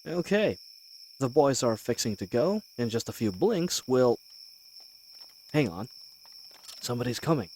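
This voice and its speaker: Man acting a wry, animated bandit leader. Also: mid-range voice, a little slow, long pauses, slight background noise. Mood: wary, annoyed. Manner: folksy, colloquial, wry. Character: cocky, wary male bandit leader plotting a heist. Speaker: voice actor in a scripted roleplay audio. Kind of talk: scripted fantasy audio roleplay, addressing the listener.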